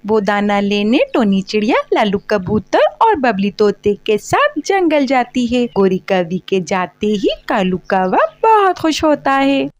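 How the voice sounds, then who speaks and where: A woman narrates a scripted children's story. Her voice is on the high side with animated, rising and falling intonation. The sound is dry, with little room to it. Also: no echo.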